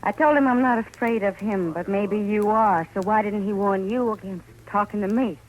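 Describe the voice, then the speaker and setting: A woman's voice: medium pitch, normal speed, natural voice. An American actress playing a frank, unafraid frontier woman, direct and tough, in 1950s radio drama style. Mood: defiant. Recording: noisy old radio recording.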